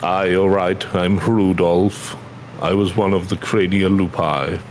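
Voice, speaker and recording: A man's voice: low voice, level tone, takes it slowly, a little hiss or hum. A man voicing a weary, earnest former soldier from a fantasy game, plain-spoken and subdued. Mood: resigned, confessional. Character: weary, earnest male ex-soldier in hiding, resigned. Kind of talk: video game dialogue read aloud.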